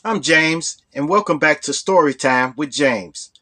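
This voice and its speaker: Man, hoarse, rambling storyteller, higher pitch. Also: measured pace, sharp tone. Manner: rambling, full of "you know". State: hoarse from a cold. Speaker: storytelling vlogger. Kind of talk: casual storytelling.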